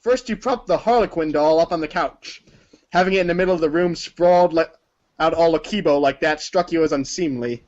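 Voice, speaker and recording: High-voiced man reading a story theatrically. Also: a little fast, a little mellow, highs cut off. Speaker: narrator of a group reading. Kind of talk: theatrical read-aloud storytelling.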